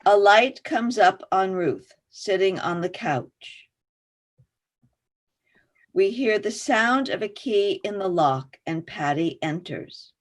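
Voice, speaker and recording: A woman's voice: mid-range voice, animated, takes it slowly. A woman narrator reading stage directions for a play in scripted narration.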